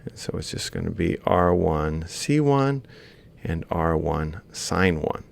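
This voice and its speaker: A man's voice: low-pitched, expressive, takes it slowly, vocal fry. A man lecturing informally, explaining a worked example step by step and thinking aloud as he writes. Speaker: university lecturer.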